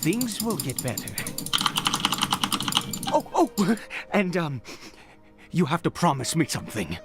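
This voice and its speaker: An actor playing an unhinged, doting father and sinister zealot in a video game, halting and theatrical in his speech. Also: a little high, sing-song, loud music behind the voice, full character voice. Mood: anxious, doting. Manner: halting, stammering, theatrical, given to chuckling. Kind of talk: dramatic video game voice acting. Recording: loud keyboard clicks and game noise.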